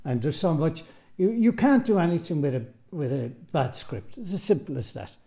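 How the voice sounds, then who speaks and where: A man's voice: on the high side, lively intonation, normal speed, creaky, dark tone. A man, a radio drama director, answering interview questions earnestly in a recording studio.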